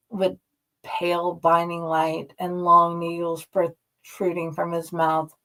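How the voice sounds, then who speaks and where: A woman reading a horror story aloud in a dramatic, deliberate, drawn-out way. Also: fairly low pitch, rough recording. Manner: slow, deliberate, drawn-out delivery. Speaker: story reader and host.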